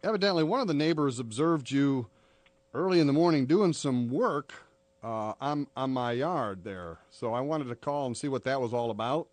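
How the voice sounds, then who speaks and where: A man's voice: medium pitch, expressive, normal speed, darkish tone, no echo. An American man, a radio host making a prank phone call in a conversational, matter-of-fact but insistent way. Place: radio studio, dry close sound.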